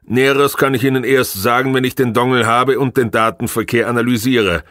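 A man's voice: medium pitch, steady tone, a bit bright, no echo, clean studio sound, pitched above the performer's own voice, close to an everyday voice. A man voicing a precise, matter-of-fact male technical expert in an audiobook. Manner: measured, factual.

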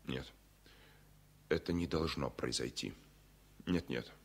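An actor playing an anxious, insistent airline passenger with urgent, intense delivery in a 1970s Soviet radio play. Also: male voice, very deep voice, takes it slowly, full character voice. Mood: evasive, afraid. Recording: faintly noisy vintage radio recording.

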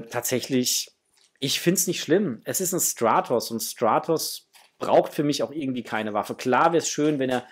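A middle-aged man with a slightly husky voice, chatting casually and enthusiastically to viewers as the host of an unboxing video. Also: mid-range voice. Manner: enthusiastic, effusive. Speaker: host of a toy collecting channel. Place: small room at home with framed posters, indoors.

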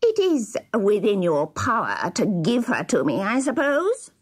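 Woman voicing a sly, sneering evil dwarf, drawn-out speech. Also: mid-range voice, huge pitch swings, slightly rough, pitched above the performer's own voice, exaggerated voice. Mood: sneering demand. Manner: slow, drawn-out, gloating, sly. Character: evil, sneering, cackling male dwarf stranger. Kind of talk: children's storybook character reading.

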